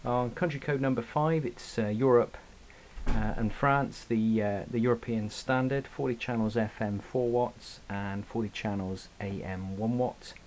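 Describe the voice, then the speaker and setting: A man's voice: mid-range voice, fairly even intonation, unhurried, a little mellow. Man, hissy amateur recording. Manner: hesitant, informal, unscripted delivery. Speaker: radio hobbyist reviewer. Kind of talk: casual product review and demonstration.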